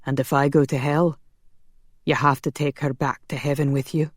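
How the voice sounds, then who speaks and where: A woman's voice: low-pitched, flat delivery, moderate pace, no echo, clean studio sound. A woman voicing a stoic, lovelorn and resolute man with old-fashioned wording in an audiobook, speaking in a subdued manner.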